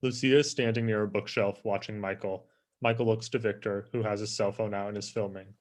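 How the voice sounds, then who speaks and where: Lowish-voiced man reading stage directions. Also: steady tone, fairly quick. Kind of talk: scripted narration.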